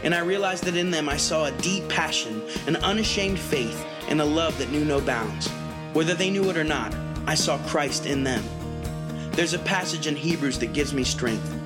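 A man's voice: a little high, moderate pace. A man from a band reading an earnest, reflective statement about the meaning behind a song. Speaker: band member. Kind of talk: prepared spoken reflection. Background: music.

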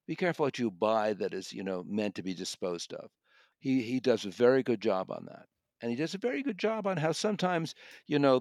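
A man's voice: mid-range voice, a little mellow, no room sound. A man with a mid-range voice gives a conversational audiobook review in a dry, close recording. He is discursive and circles back to reword his points. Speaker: audiobook reviewer and podcast co-host.

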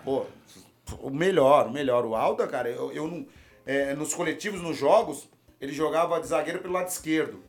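A man with a Brazilian accent, a retired footballer, telling animated stories in a casual chat and repeating his phrases. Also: on the high side, fairly quick. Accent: Brazilian Portuguese.

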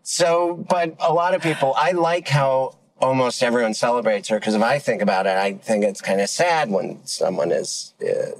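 A man's voice: medium pitch, normal speed, vocal fry, few pauses, dry recording, poor audio. Man in playful comedic chat. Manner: playful, tongue-tied wordplay, laughs often. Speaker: podcast co-host.

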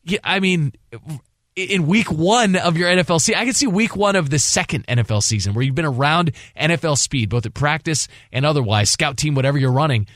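A man's voice: fairly high pitch, lively intonation, a little fast, a bit bright, dry recording, studio quality. A man co-hosting a sports radio show, reasoning through his analysis off the cuff and repeating phrases for emphasis. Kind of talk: unscripted sports talk analysis. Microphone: close studio broadcast microphone.

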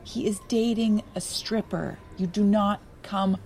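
A woman's voice: medium pitch, fairly even intonation, a little slow, gravelly, a little mellow, slight background noise. A woman playing a judgmental, bossy friend in an audio drama, with a scolding, insistent manner. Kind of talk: naturalistic audio drama acting.

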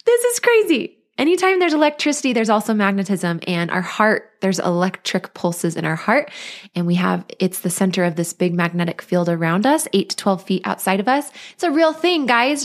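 A woman hosts her own podcast in a casual solo monologue. She talks in a bubbly, earnest way, chatty and warm-hearted in her words. Her voice sits at a middle pitch for a woman. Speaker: podcast host and life coach.

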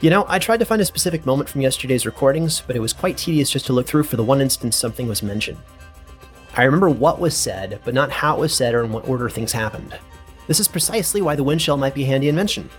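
Man acting a scholarly researcher, higher-pitched, over music. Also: expressive, fast talker, natural voice. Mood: musing, methodical. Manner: musing, formal, precise. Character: scholarly, methodical inventor-researcher, musing, curious. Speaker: researcher and narrator. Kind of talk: in-character audio log.

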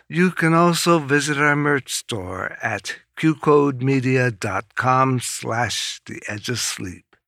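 A man reading scripted credits as an announcer, in a measured, neutral manner. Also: medium pitch, bright, forceful tone, no room sound, clean studio sound.

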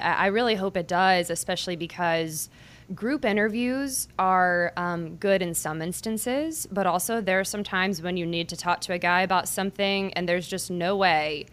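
A woman, a sports reporter hosting a podcast, asking questions in an earnest, halting way with frequent restarts. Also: lowish voice, fairly bright tone. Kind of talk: conversational interview.

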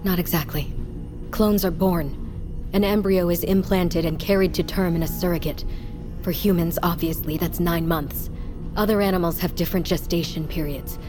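A woman playing a guarded, remorseful geneticist in an audio drama, speaking in a clipped, grave, measured way. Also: fairly low pitch, steady tone, close to an everyday voice. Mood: explaining, matter-of-fact. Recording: clear music bed underneath.

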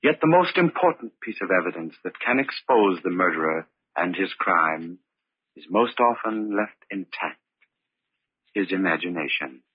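An actor playing a grave, ominous narrator of a murder thriller, with measured old-time radio delivery. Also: male voice, deep voice, lively intonation, breathy edge, no room sound. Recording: muffled old radio recording.

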